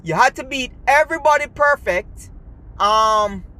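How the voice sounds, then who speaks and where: A man's voice: extremely high pitch, expressive, a little slow, bright, forceful tone, rough recording. A man with a Jamaican accent, hosting his own channel and ranting in a heated, rambling way with lots of restarts. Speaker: gaming channel host. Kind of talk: casual ranting commentary to camera.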